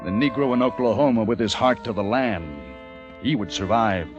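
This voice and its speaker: An actor playing a haunted, educated young American survivor, narrating intensely in the theatrical style of old-time radio drama. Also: male voice, fairly low pitch, normal speed, highs cut off, lightly acted. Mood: grim, brooding. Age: young adult. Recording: vintage radio recording with music behind.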